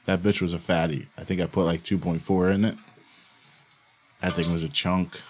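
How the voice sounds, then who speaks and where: A man's voice: sits low, flat delivery, normal speed. A man co-hosting a podcast in a loose, casual chat, swearing freely and often slipping into silly voices. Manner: playful, swears a lot, slips into silly voices. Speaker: podcast co-host.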